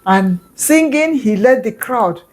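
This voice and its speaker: Storyteller, female, Nigerian accent, higher-pitched voice, conversational folktale delivery with explanatory asides. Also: lively intonation, slow pace, a little mellow. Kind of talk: oral folktale narration.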